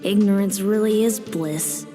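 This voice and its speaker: A woman playing a cool, bitter, world-weary young rival in an anime-style video game, measured and aloof. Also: medium pitch, music in the background, natural voice. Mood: bitter, resigned.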